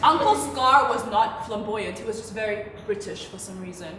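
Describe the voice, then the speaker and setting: A woman's voice: medium pitch, normal speed, sharp tone, close to an everyday voice. A woman playing a rich businessman's ambitious, teasing daughter in a stage play, projecting sardonic banter. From Tanzania. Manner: sardonic sibling banter, projected theatrical delivery. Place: theatre stage, picked up from a distance. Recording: echoey theatre, distant mic, faint noise.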